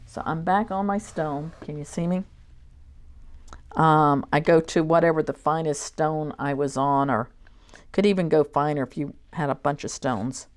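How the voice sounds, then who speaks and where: A woman teaching shear sharpening, talking in a relaxed way and thinking aloud as she works in her workshop. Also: sits low, normal speed. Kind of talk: hands-on demonstration talk.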